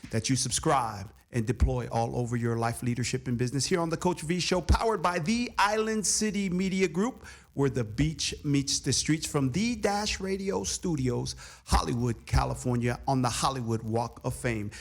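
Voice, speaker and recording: A man's voice: medium pitch, normal speed, hardly any pauses. A middle-aged man hosting a radio interview show from a studio, reading an emphatic scripted introduction and asking questions. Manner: upbeat, emphatic announcer delivery. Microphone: studio microphone with headphones.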